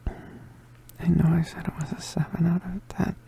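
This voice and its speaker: Woman voicing a breathy, wounded young witch boy. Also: on the low side, level tone, mellow, exaggerated voice. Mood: stubborn, amused. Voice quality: breathy, often whispered. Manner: halting, stammering, hesitant. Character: injured young male witch, gentle, protective, vulnerable. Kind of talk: ASMR roleplay, soft intimate acting.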